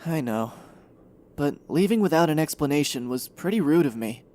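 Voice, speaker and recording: A man's voice: fairly high pitch, animated, normal speed, a little mellow, lightly acted. A man playing a guarded, conflicted teenage son in an anime fan audio drama. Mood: subdued, unsure.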